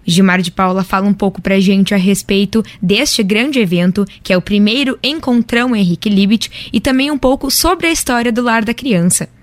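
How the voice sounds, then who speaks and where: A woman hosting a community radio program, reading introductions and asking interview questions in a clear, friendly manner. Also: mid-range voice, moderate pace, hardly any pauses, no room sound. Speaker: radio host. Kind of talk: scripted radio presenting.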